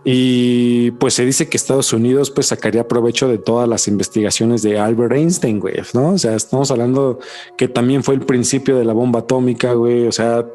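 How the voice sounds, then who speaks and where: A man with a Mexican accent hosting a podcast in casual chat, slangy and animated, repeating words for emphasis. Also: medium pitch, fairly quick. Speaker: podcast host.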